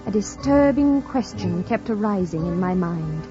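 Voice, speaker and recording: An actress playing an earnest, composed young governess in an old radio drama, speaking with a refined British accent and careful, formal phrasing. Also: female voice, medium pitch, lively intonation, measured pace, close to an everyday voice. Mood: reflective. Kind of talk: 1940s radio drama narration and acting. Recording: dull old radio recording. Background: music.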